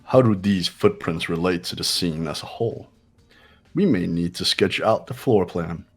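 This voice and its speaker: A man voices a haughty young genius with a low-pitched voice. He talks formally and gives orders with smug condescension, in the manner of a video game rival, with music playing underneath. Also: moderate pace. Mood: bossy, smug. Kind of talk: amateur voice acting in a game playthrough.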